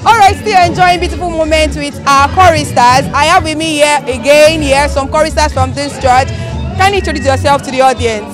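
A young Nigerian woman with a high, bright voice hosts a teasing quiz on a church event's red carpet. She speaks into a handheld mic outdoors. Music and a crowd carry on behind her. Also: brisk. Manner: upbeat, laughing, teasing quizmaster. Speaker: event reporter and host. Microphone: handheld branded microphone. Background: music and crowd chatter.

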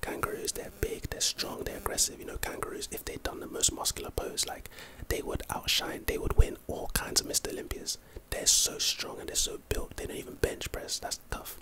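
A man's voice: brisk, few pauses, rough recording. Whispering London man, casual and slangy. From London, England. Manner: slangy, rambling, playful. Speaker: host reading and reacting to trivia. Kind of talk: whispered ASMR, casual commentary.

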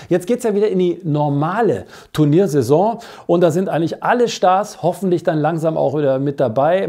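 A middle-aged man hosts a sports web show. His voice sits a bit high for a man and is mellow and relaxed. He talks in a chatty, joking way, straight to the viewers. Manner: colloquial, jokey, addresses viewers directly. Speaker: host of a weekly tennis show. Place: small cluttered basement studio.

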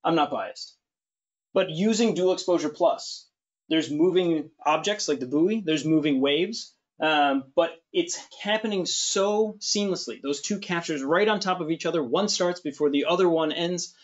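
A man in his thirties hosting a camera webinar, relaxed and joking, with many fillers. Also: on the high side, moderate pace, muffled recording. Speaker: webinar host. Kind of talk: webinar discussion. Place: studio with large screen.

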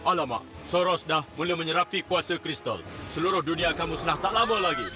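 Man as a higher-pitched earnest cartoon teammate. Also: brisk, music in the background, natural voice. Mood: alarmed. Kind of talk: children's animated series acting.

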